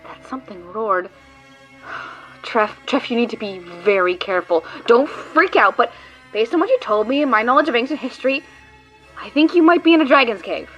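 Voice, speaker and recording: A woman's voice: a little high, normal speed, a bit bright, highs cut off, soft background music, lightly acted. A woman voicing a sarcastic, exasperated female guide who swears often, in a fan audio drama.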